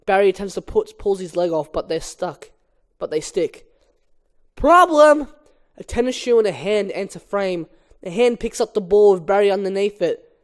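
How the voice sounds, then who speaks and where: A man's voice: high-pitched, normal speed, dark tone. A young man, an amateur reader working through a film script aloud and chatting casually, with a sweary, exasperated edge.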